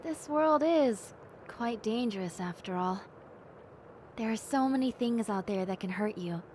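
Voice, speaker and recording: A woman voicing a gentle, cheerful young bat girl in an intimate audio roleplay, speaking earnestly and reflectively to the listener. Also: on the high side, lively intonation, slight background noise. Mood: gentle, reassuring. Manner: soft-hearted, earnest, reflective, unhurried.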